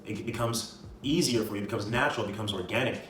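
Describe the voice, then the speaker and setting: A man's voice: on the low side, fast talker. A man giving casual motivational advice as a video creator, with an emphatic, repetitive, slangy way of talking. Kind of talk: casual motivational talk to camera. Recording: roomy echo, distant mic, music behind. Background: music.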